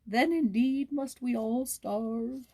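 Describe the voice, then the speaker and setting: A woman's voice: a little high, takes it slowly, a little mellow, voice pushed up. A woman voicing a poor, sick peasant man from a storybook in a weak, moaning lament. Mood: despairing.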